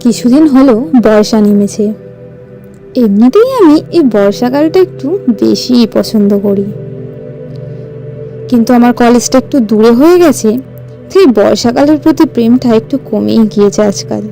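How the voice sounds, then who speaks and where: A woman narrating a first-person story in a suspenseful, expressive, theatrical way. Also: on the high side, moderate pace, creaky, low-quality recording. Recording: music bed under the voice.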